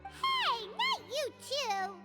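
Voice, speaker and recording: A woman playing a tiny, childlike, loyal and excitable fairy companion in a game, speaking in exclamations. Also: very high voice, very slow, loud music behind the voice, heavily acted. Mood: indignant. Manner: speaks of self in third person, exclamatory. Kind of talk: animated game character acting.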